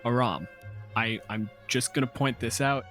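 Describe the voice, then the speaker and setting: A man's voice: mid-range voice, unhurried, a bit bright, faint music behind. A man running a tabletop game as game master, narrating and explaining rulings in a casual, profane way.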